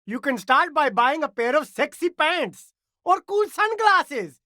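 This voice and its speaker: An actor voicing a cheerful, slick and eager immigrant street vendor in a video game, with flattering, laid-back sales patter. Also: sits high, a little slow, no room sound, studio quality, full character voice. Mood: smooth, flattering. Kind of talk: video game character dialogue.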